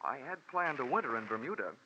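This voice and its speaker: An actor playing a wry, world-weary insurance investigator in a 1940s radio drama, deadpan and wisecracking, on a dull vintage recording. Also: male voice, mid-range voice, fast talker, a little hiss or hum. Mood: dry, wry. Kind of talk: 1940s radio detective acting and narration.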